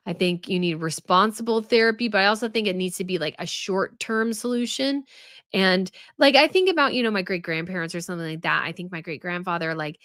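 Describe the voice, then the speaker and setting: A woman's voice: on the low side, a little fast, fairly bright tone, no echo, clean studio sound. Chatty woman hosting a live stream. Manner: chatty, many fillers and restarts. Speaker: host of a royal news live stream. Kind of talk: casual live-stream chat reading viewer comments.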